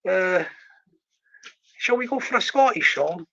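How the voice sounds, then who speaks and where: A man's voice: high-pitched, lively intonation, unhurried, vocal fry, a bit bright, lo-fi sound. A man hosting his own channel, chatting and teasing viewers in a rambling, jokey way between a cappella songs. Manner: jokey, rambling, teasing banter with many restarts.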